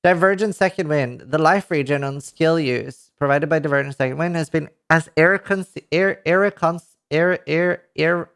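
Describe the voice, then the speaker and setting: Give me a Norwegian-accented man in his thirties reading game patch notes live, excitable and chatty with his viewers. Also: on the high side, normal speed, few pauses, smooth. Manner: excitable, swears casually, talks to chat. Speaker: streamer. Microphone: headset mic at a desk.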